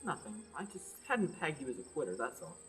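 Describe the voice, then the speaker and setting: A woman's voice: deep voice, very animated, fairly quick, fairly bright tone, faint music behind, exaggerated voice, rough recording. A young woman acting a teasing, battle-hardened young warrior on a quest in a radio play. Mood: teasing, dismissive. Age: young adult. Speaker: student actor. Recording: clear background noise, night insects.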